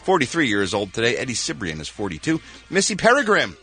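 Showbiz radio guy, bouncy voice, bright, a little music behind. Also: medium pitch, fast talker. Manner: animated, jokey, riffing. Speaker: entertainment news reporter on radio. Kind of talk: showbiz report and banter.

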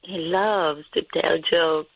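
A woman's voice: low voice, fairly even intonation, slow pace, gravelly, no room sound. Sri Lankan woman on a phone line. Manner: polite, brief answers. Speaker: caller.

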